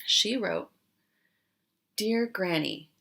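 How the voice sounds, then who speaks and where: Slow, lively woman teacher reading aloud. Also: mid-range voice, creaky, slight background noise. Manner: questioning, explanatory, with pauses for answers. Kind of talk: interactive read-aloud lesson for young children.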